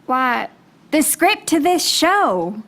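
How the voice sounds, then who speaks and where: An actress playing a cool, impatient femme fatale in a noir radio play parody, with a dry, dramatic delivery. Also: female voice, high voice, very animated, drawn-out words, a bit bright, exaggerated voice. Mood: guarded, dramatic. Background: music behind the voice.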